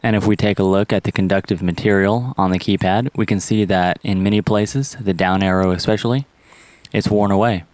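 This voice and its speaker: A man's voice: lowish voice, steady tone, fairly quick, darkish tone, no echo. A man narrating a step-by-step repair tutorial in a plain, instructional manner. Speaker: how-to presenter.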